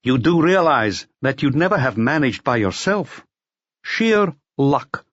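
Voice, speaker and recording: A man voicing a hoarse, bitter, drunken swindler coming apart with nerves in an audiobook. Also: mid-range voice, very animated, measured pace, no echo, lo-fi sound, pitched above the performer's own voice. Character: drunken, bitter, nervous male Latvian swindler, unravelling.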